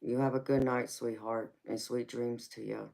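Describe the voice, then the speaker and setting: A woman's voice: rumbling low, little pitch movement, normal speed, vocal fry, a little mellow. A woman in her forties hosting a live stream, chatting casually with viewers and calling them baby, honey and sweetheart. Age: 40s. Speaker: tarot reader and livestream host.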